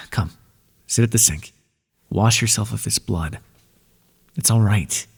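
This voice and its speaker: A man voicing an ancient god of the underworld in an ASMR roleplay, speaking in a soft, breathy, measured way and reassuring the listener tenderly. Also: on the low side, in a large hall, exaggerated voice. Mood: gentle, soothing.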